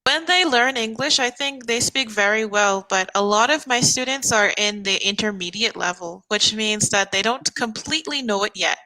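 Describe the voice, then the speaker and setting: A woman's voice: a little high, moderate pace, few pauses, sharp tone, rough recording. A woman from the Bahamas working as an online English tutor, chatting casually and laughing often on a video call.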